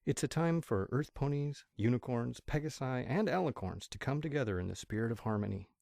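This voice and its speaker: A man plays a proud, affectionate father pony in a fan-made audio drama. His mid-pitched voice has a gravelly creak, and he speaks in measured, ceremonial phrases. The sound is close and dry. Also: lively intonation, darkish tone, no echo. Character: proud, warm pony father giving a holiday speech. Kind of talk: amateur audio drama acting.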